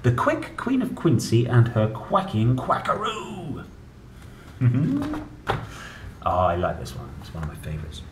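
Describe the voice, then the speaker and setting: A young man reads aloud to small children with a playful, sing-song delivery that swings very widely in pitch. He goes slowly, as if chatting directly to his listeners. The sound is roomy and a little distant from the mic. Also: huge pitch swings. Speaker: children's book reader and presenter. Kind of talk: animated read-aloud of a children's alphabet book. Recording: roomy, distant mic with faint background noise.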